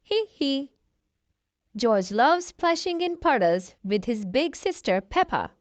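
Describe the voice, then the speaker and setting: A woman narrates a picture book for small children in a high voice. Her delivery is expressive and swings widely in pitch, speaking straight to her young audience. The sound is dry, with very little room around it. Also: measured pace, no echo. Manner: addresses young children directly, expressive storytelling. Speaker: children's storybook reader, channel host. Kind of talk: read-aloud children's story narration.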